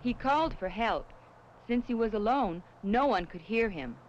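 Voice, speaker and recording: An actress playing a reassuring superheroine who teaches children safety in an earnest, measured, didactic way in a 1970s educational film. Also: female voice, on the high side, animated, lightly acted. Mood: reassuring, cautionary. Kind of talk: scripted 1970s educational film acting and narration. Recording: muffled, noisy 1970s educational film soundtrack.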